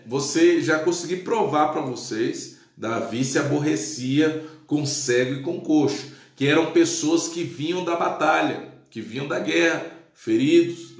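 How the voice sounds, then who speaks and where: A man's voice: a little high, reverberant. A male pastor teaching the Bible in a live stream, exclaiming praise and checking in with his listeners, his Portuguese touched by Spanish. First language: Spanish influence.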